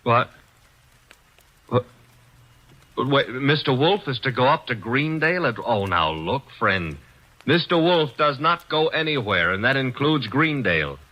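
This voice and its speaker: A man's voice: mid-range voice, animated, a little slow, pauses often, highs cut off. A man playing a teasing, wisecracking assistant to a detective in an old radio drama. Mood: wry. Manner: breezy, teasing. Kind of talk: 1940s radio acting.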